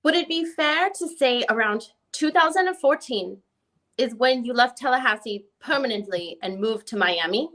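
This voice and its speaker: A woman playing a methodical, probing lawyer who puts formal, deliberate questions in a dramatic deposition reading. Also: fairly high pitch, lively intonation, pushed and bright.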